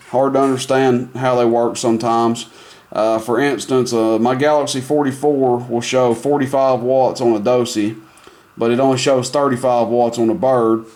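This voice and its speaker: A man's voice: mid-range voice, a little mellow, slight background noise. Easygoing man demoing a CB radio. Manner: easygoing, rambling with many fillers. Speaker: CB radio seller. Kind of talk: casual product demo.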